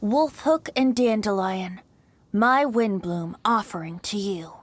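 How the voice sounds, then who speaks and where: A woman's voice: medium pitch, expressive, slow pace. A woman voicing a youthful male bard with a lyrical, theatrical and playful manner in a video game. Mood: lyrical reciting.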